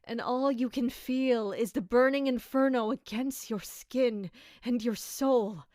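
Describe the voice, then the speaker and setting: Anguished captain, slow and high-voiced. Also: female voice, darkish tone, no echo, studio quality. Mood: haunted, brooding. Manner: slow, brooding, emotionally intense. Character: anguished female royal captain, bitter and dutiful. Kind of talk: dramatic audio drama monologue and dialogue.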